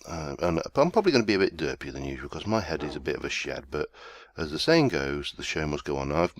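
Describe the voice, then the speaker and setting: Very deep-voiced man with a cold. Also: lively intonation, fast, dry recording, studio quality. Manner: rambling, self-deprecating, easygoing. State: chesty with a summer cold. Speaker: let's play host. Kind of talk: casual gameplay commentary.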